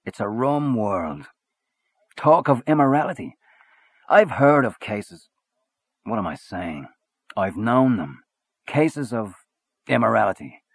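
A man voicing a boastful, worldly man who talks in a loud, hearty, swaggering way. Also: lowish voice, big pitch swings, slow pace, long pauses, slightly rough, no room sound, pitched above the performer's own voice, heavily acted. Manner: expansive, swaggering, back-slapping.

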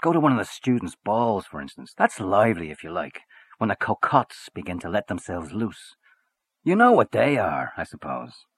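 Man putting on a loud boastful man-about-town. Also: on the low side, huge pitch swings, normal speed, slightly rough, no echo, heavily acted. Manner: expansive, swaggering, back-slapping.

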